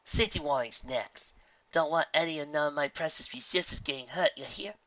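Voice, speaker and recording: A man's voice: fairly high pitch, fairly quick, sharp tone, no echo, highs cut off, voice pushed up. A man voicing a bustling, folksy middle-aged female pony mayor in a storybook reading, chatty and motherly. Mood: friendly, bustling.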